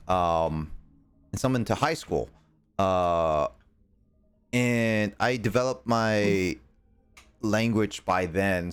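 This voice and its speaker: A young American man streaming games, talking casually in halting, rambling bursts full of fillers and restarts. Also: low-pitched, smooth. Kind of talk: casual chat while gaming.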